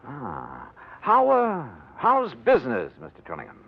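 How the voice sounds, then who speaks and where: An actor playing a skeptical, brisk insurance investigator in a hardboiled 1950s radio drama, with a clipped, probing, wry delivery. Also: male voice, a little high, wildly swooping pitch, exaggerated voice. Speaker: insurance investigator lead and narrator. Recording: dull vintage radio recording, noisy.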